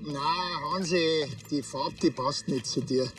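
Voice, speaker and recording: A man's voice: a little high, unhurried, music in the background, close to an everyday voice. A man playing a chatty, mock-innocent Bavarian policeman in a TV crime comedy, teasing in colloquial speech. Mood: casual, cheeky. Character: cheeky, chatty Bavarian small-town policeman.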